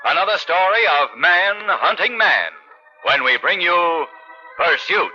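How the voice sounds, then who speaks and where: A man's voice: sits high, sing-song, a little slow, bright, forceful tone. A man delivering a formal, dramatic scripted announcement in the style of 1950s radio drama. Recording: muffled old radio recording. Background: faint music.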